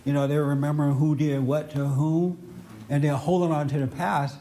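A man's voice: on the high side, little pitch movement, normal speed, mellow. A man hosting an unscripted, opinionated group discussion. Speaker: host leading a group discussion.

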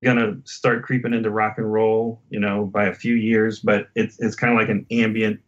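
A man's voice: on the low side, little pitch movement, normal speed, muffled recording. A man in a casual album discussion as a podcast host, talking in a reflective, hesitant, conversational way.

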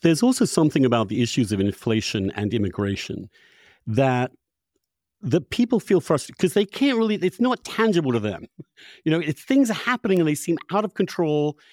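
A man's voice: a little high, sing-song, brisk, darkish tone, dry recording, studio quality. An older man hosting a conversational political discussion, emphatic and often correcting himself as he goes. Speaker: podcast host.

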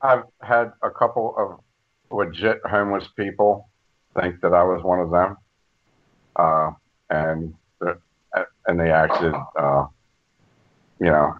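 An older man with a very deep, low voice talks in a slow, measured way and restarts his sentences often. The sound is dull and lo-fi, as if the recording lost its top end. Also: steady tone. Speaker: guest. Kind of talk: casual podcast conversation. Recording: dull lo-fi audio.

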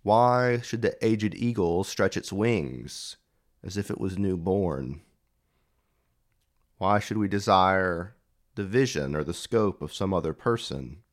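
A man preaching a reflective homily in measured, deliberate phrases. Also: deep voice.

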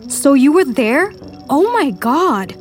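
An actress playing a pragmatic young lab scientist, brisk and informal, in an audio drama. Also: female voice, high-pitched, very animated, faint music behind, exaggerated voice. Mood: concerned. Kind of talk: full-cast audio drama acting.

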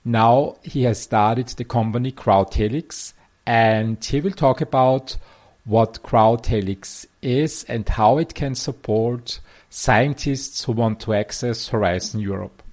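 Male podcast host, measured, kinda formal, mid voice. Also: mid-range voice, a little mellow. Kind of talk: scripted intro, then interview questions.

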